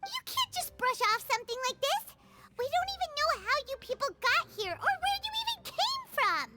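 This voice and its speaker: A woman voicing a tiny, excitable fairy companion girl who talks about herself by name. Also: very high voice, normal speed. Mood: indignant. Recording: game audio with music bed.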